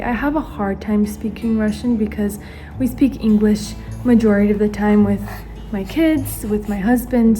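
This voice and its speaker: A woman's voice: on the high side, warm tone. A woman in her early twenties, a stay-at-home mom born in Ukraine and raised in the US, chatting earnestly to the camera while she does her makeup. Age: 20s. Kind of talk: casual get-ready-with-me chat to camera. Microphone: camera facing her, she watches herself as a mirror.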